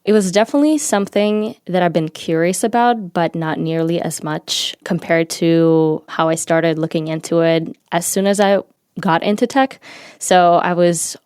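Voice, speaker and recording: A young woman from Ukraine, a native Ukrainian speaker, speaking as a podcast guest in a measured, reflective manner. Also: on the low side, steady tone, darkish tone, clean tone, no echo, studio quality. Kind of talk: casual interview talk.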